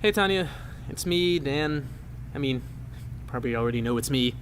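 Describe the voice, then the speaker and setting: An actor playing an awkward, apologetic, fond man leaving a phone message, halting and sheepish, in a naturalistic audio drama. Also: mid-range voice, lively intonation, slight background noise, close to an everyday voice.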